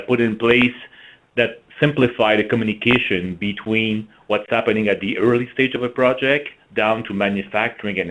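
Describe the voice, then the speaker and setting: A man with a French-Canadian accent presenting a business webinar, halting with frequent fillers and throat clearing. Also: on the low side, a bit bright, poor audio. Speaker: marketing executive presenting a webinar.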